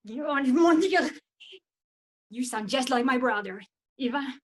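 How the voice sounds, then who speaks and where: A somewhat high-pitched Frenchwoman, played by an actress speaks with a French accent in a dramatic wartime monologue. She is grieving and embittered, and her delivery is halting and full of feeling. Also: lively intonation. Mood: challenging. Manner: halting, emotional. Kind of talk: theatrical monologue.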